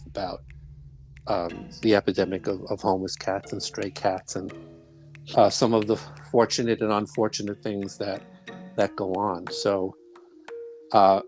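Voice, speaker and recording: A man's voice: on the low side, darkish tone, poor audio. A middle-aged man from Brooklyn, New York, hosting a podcast in a chatty, rambling way. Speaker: podcast host. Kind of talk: casual chat and interview.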